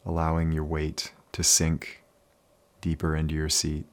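A man leading a guided meditation in a calm, soothing, unhurried manner with many pauses. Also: rumbling low.

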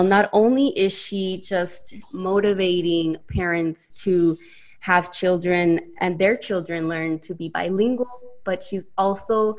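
A woman hosting a podcast, upbeat, dropping Spanish phrases into her English. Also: medium pitch. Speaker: podcast host. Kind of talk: scripted podcast intro and interview.

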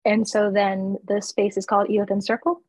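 A woman's voice: mid-range voice, level tone, moderate pace, a little mellow, slightly rough, dry recording. A woman from the East Coast who owns a flower shop, talking candidly in a casual interview with fillers and laughs. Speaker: florist and shop owner.

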